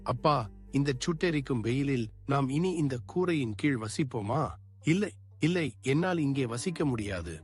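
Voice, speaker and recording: A young village boy, voiced by a man complains in a whiny voice. The pitch sits mid-range for a man, and soft music runs beneath it. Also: lively intonation, normal speed, breathy edge. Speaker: voice actor. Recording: music bed behind.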